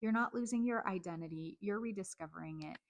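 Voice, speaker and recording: A woman in her forties whose first language is Chinese, hosting a reflective personal monologue in a calm, earnest, measured way. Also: medium pitch, muffled recording. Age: 40s. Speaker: host.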